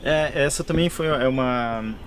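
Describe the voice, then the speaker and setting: Brazilian man, mid pitch, hesitant, many fillers, on-site interview, faint background noise. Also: moderate pace. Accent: Brazilian Portuguese. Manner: hesitant, many fillers and restarts, plain explaining tone. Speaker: nursery worker at a conservation NGO. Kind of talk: on-site interview, explaining the work. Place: plant nursery and lab on a rural property.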